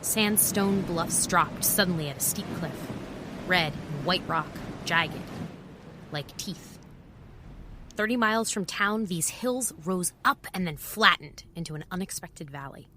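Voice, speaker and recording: A woman's voice: on the low side, measured pace, sharp tone, slight background noise. An actress playing a wry, world-weary woman who narrates a spoken travel journal in an audio drama, reflective, often in clipped fragments. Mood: reflective, observant.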